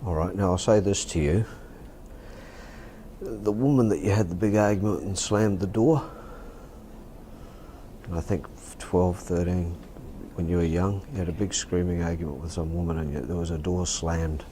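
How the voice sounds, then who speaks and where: Hypnotist, male, Australian. Very deep, soft voice, calm short instructions in a one-to-one session, noisy ambient recording. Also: normal speed. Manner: calm, directive, short simple phrases. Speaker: hypnotist practitioner. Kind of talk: hands-on hypnosis session, giving instructions and questions.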